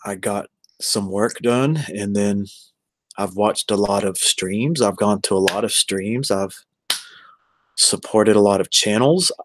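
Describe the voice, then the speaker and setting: A man's voice: lowish voice, takes it slowly, no echo. An American man in his forties chatting casually on a livestream, rambling and addressing viewers one by one. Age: 40s. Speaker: live streamer.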